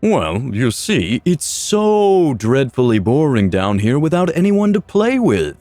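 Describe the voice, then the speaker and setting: A man voicing a playfully cruel male necromancer in a storytelling narration, slow and drawn-out with mock courtesy. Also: medium pitch, big pitch swings, warm tone, no echo, soft background music. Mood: playful, bored. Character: sinister pale undead male necromancer, playfully cruel, amused.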